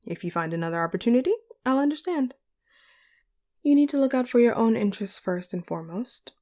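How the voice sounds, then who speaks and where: Casting: a woman as courteous female supervillain boss for audio roleplay; soft dark tone, composed, articulate, pragmatic. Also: fairly high pitch, expressive, close to an everyday voice. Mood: encouraging, explanatory. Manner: formal, measured, articulate phrasing. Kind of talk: scripted audio roleplay monologue addressed to the listener.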